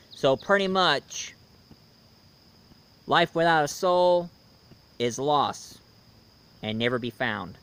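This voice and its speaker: Clear-voiced man, halting movie reviewer. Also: fairly high pitch, fairly bright tone. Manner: rambling, halting, hesitant delivery. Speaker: online film reviewer, show host. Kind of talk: casual unscripted movie review vlog.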